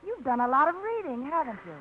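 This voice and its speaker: An actress playing an eager small-town woman in a 1950s radio drama, bright and a little flustered. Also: sits high, big pitch swings, quick pace. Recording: tinny, hissy 1950s radio broadcast.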